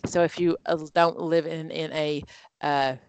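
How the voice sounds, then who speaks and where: A woman's voice: low-pitched, little pitch movement, unhurried, a little mellow. Woman, study group instructor, conversational, video call audio, faint background noise. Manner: conversational, explains with everyday examples. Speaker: exam prep instructor. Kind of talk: online study group teaching.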